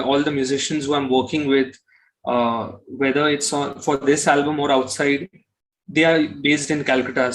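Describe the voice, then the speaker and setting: A man's voice: medium pitch, fairly bright tone, rough recording. A man from Kolkata with an Indian accent, answering interview questions casually, often circling back over his phrasing with "you know". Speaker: musician interview guest.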